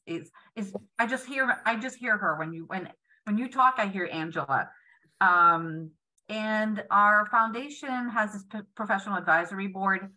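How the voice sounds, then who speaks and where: A woman's voice: mid-range voice, bright, forceful tone, low-quality recording. A woman in her fifties, a patient foundation president, speaking earnestly on a video call panel from her home, with many fillers and restarts. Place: home room indoors. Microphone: webcam on video call.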